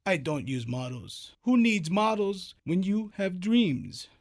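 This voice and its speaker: Radio comedy: grandiose, dreamy surrealist painter, unhurried and deliberate, theatrical and cryptic. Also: male voice, high-pitched, very animated, heavily acted. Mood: dreamy, insistent. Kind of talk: comic radio play acting.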